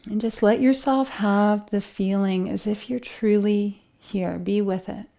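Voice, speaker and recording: A woman leading a guided relaxation meditation as an instructor, speaking in a gentle, soothing, unhurried manner with long pauses. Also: mid-range voice, fairly even intonation, gravelly.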